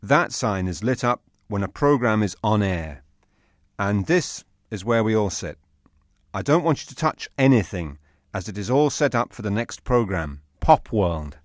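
A man's voice: fairly low pitch, lively intonation, measured pace, no room sound, studio quality. A man playing a knowledgeable TV technical director who explains things in a businesslike way. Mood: explaining. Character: eager male TV technical director, knowledgeable, instructing.

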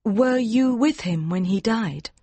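A woman playing a devoted young woman in mourning in a dramatised audiobook, earnest and pleading, with a breathy voice. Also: fairly high pitch, animated, slow pace, mellow, no echo. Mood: pleading, anguished.

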